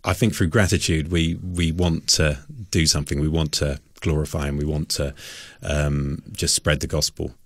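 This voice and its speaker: A man's voice: a bass voice, dry recording, clean studio sound. A man speaking casually and earnestly as a musician guest in a radio interview, reflecting on his song.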